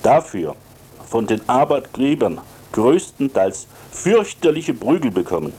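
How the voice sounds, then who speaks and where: Slow, animated man with a mellow voice. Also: medium pitch, breathy edge. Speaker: works council member and radio contributor. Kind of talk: prepared radio commentary.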